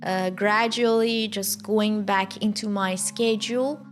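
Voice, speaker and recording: A woman's voice: medium pitch, fairly even intonation, slow. A woman with a Persian accent, a gaming creator answering viewer questions in a chatty, hesitant way with many fillers and restarts. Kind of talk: casual Q&A to camera.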